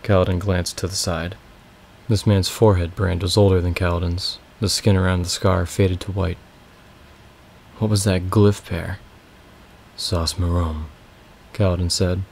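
A young man with a raspy voice, reading a fantasy novel aloud as a home audiobook from his bedroom. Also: deep voice, slight background noise. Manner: slow, casual intro then steady reading. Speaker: amateur audiobook reader. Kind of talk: audiobook narration.

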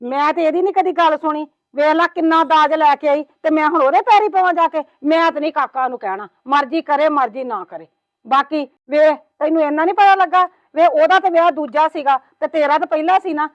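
Sarcastic mother-in-law played by a woman, high and fast, dry muffled recording. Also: no echo, natural voice. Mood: indignant. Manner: complaining, sarcastic, nagging with repeated "hain?". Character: scolding, proud mother-in-law, middle-aged woman.

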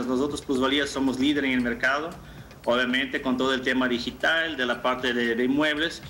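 A man in his thirties answering interview questions informally, a little haltingly and with repeated phrases, from a room over a video call. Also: medium pitch, sharp tone, low-quality recording. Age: 30s. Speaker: marketing manager, interview guest.

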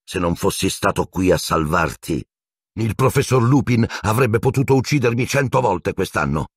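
A man voicing a defiant, indignant teenage schoolboy wizard in a storybook reading, argumentative and shouting back. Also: lowish voice, lively intonation, moderate pace, husky, no room sound, studio quality, voice pushed up. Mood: protesting.

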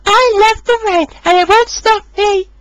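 A man voicing a cheerful, reckless young female tank engine in a read-aloud storybook, with a squealing high voice. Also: normal speed, a bit bright, no echo. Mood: carefree.

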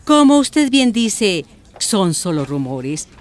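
A Colombian woman playing a calm, principled schoolteacher in a radio soap. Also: medium pitch, slow. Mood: measured, defensive.

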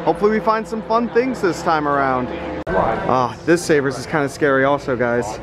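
A man's voice: fairly high pitch, expressive, normal speed, over a noisy crowd. A man hosting a casual shopping vlog, chatting to viewers as he goes, recorded on a handheld camera. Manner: chatty, addresses viewers as guys. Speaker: vlog host and reseller on a thrifting trip. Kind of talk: casual running commentary while shopping. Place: thrift stores and parking lots. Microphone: handheld camera mic.

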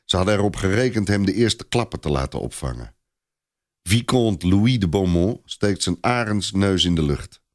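A man's voice: deep voice, animated, normal speed. A man narrating a scripted story in a dramatic style.

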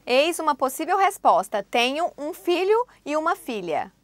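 A woman presenting a language course in a studio, reading the lesson script clearly and steadily. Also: sits high, sing-song, no room sound. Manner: clear, measured prompting of phrases.

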